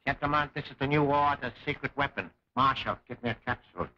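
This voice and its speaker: A man's voice: medium pitch, fast, bright, forceful tone, lightly acted. A man playing a cold, commanding enemy scientist in a 1940s film serial, speaking formally and precisely. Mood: grandiose, menacing. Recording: dull lo-fi 1940s serial soundtrack.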